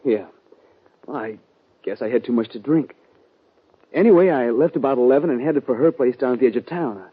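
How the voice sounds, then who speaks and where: An actor playing a wounded young outlaw in a 1950s western radio drama, speaking haltingly, dazed and evasive, in a husky voice. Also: male voice, medium pitch, natural voice. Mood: halting, troubled. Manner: halting, faltering speech. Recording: muffled old radio recording.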